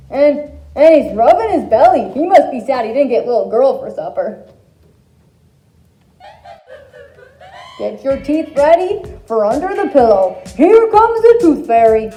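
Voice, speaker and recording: A woman's voice: high-pitched, sing-song, unhurried, dark tone. A woman playing a sly, hungry wolf posing as an old granny, giving theatrical, wry commentary in a fairy tale stage skit. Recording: roomy echo, music behind.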